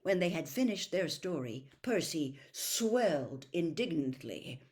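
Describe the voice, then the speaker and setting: Female storybook narrator, lowish voice, highly animated intonation, expressive reading. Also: a little slow. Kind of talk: expressive scripted novel reading.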